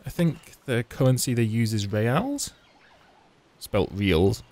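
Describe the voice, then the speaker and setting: A man's voice: mid-range voice, very animated, slow, a little mellow. A man giving wry, mocking commentary while playing a video game. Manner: wry, mocking, chatty asides. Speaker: let's play host. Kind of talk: sarcastic gameplay commentary. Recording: game music under voice. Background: game music.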